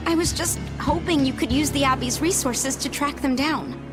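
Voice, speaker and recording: A woman's voice: high voice, normal speed, natural voice. A woman playing a timid, anxious young innkeeper's daughter in a video game, hesitant and pleading. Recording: music under the voice.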